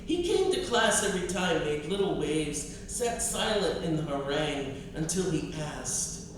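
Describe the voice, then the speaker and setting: A woman poet speaks at a live reading with slow, expressive delivery and firm emphasis. She sounds distant, in a very reverberant indoor space with clear background noise. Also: sits low. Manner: expressive, emphatic, with repetition for effect. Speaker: poet and professor. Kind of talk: live poetry reading and audience talk. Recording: distant, very echoey, noisy room.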